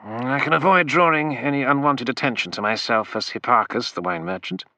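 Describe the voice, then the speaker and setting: A man voicing a smooth, ironic male imperial agent in an audiobook, speaking flatly with dry wit. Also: medium pitch, brisk, no echo, natural voice. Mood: wry.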